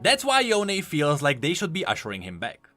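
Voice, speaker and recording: A man's voice: fairly high pitch, huge pitch swings, normal speed, sharp tone, faint music behind. A man giving enthusiastic, explanatory commentary on video game lore, leaning hard on key words for emphasis.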